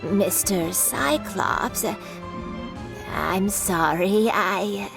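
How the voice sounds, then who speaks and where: An actress playing a kindly old granny who sells kites in a video game, with an unhurried, folksy manner. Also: female voice, mid-range voice, gravelly. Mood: hesitant. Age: older. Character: kindly old granny, canny kite seller. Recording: music under the voice.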